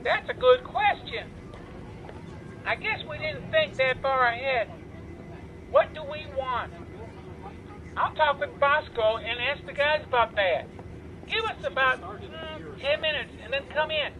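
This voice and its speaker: A man's voice: very high voice, expressive, unhurried, pauses often, bright, forceful tone, a faint crowd, full character voice. A man playing a polite, naive living teddy bear in an audio drama, heard as if over a telephone. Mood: agreeable, puzzled. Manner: courteous, naive. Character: polite, earnest living teddy bear leading hostage takers. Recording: tinny phone line with background noise.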